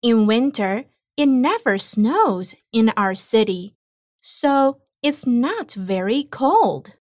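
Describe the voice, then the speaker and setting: A woman reading an English listening test in a slow, carefully spaced delivery. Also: on the high side, big pitch swings, dry recording, studio quality. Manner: clear, carefully spaced words. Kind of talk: slow, deliberate exam reading.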